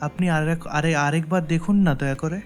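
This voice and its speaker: Man playing an earnest, higher-voiced village husband. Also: steady tone, fast talker, close to an everyday voice. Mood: shocked, pleading. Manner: earnest, pleading, stammers when upset. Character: gullible, earnest poor village husband, easily distressed. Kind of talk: cartoon story acting. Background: background music.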